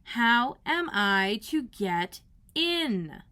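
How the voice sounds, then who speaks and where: A woman reader plays a young girl from a storybook. Her voice is raised high and sounds earnest. She is polite and curious, with a plaintive edge that shows how easily she gets exasperated. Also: very animated, extremely slow, bright, forceful tone, exaggerated voice. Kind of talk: storybook read-aloud character voice.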